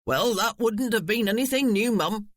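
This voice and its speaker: Casting: narrator doing brawling old housekeeper, gruff and loyal. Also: medium pitch, lively intonation, normal speed, fairly bright tone, no echo, studio quality, pitched above the performer's own voice. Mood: unabashed. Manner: rough, combative, plain-spoken. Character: fierce, blunt old housekeeper woman.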